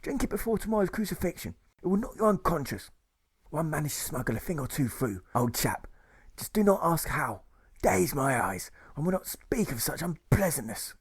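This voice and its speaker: A man's voice: on the high side, very animated, moderate pace, husky, voice pushed up, heavily acted. A man voicing a posh, fussy wartime nurse in an audiobook, affected and prim in manner. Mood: prim, discreet. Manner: affected, prim, upper-class exclamations.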